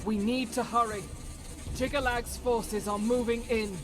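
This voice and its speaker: A woman's voice: on the high side, fairly even intonation, measured pace, lightly acted. A woman playing a fierce, zealous female warrior companion, intense and devout, in a dramatic video game performance. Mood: resolute, battle-ready. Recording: music behind the voice.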